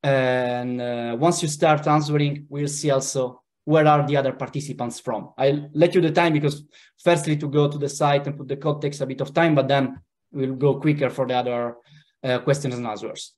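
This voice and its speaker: A man with an Italian accent hosts an online webinar in a relaxed way, pausing often with "uh" fillers. He speaks over a video call from a home office. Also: medium pitch. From Italy. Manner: relaxed, hesitant, with frequent "uh" fillers. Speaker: webinar host.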